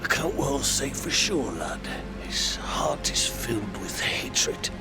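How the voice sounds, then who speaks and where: An actor playing a weary, dying old corsair captain in a dramatic game cutscene, with halting, breathy, salty seafarer speech. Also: male voice, mid-range voice, sing-song, in a whisper, full character voice. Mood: grave, uneasy. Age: older. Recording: loud music and rain behind.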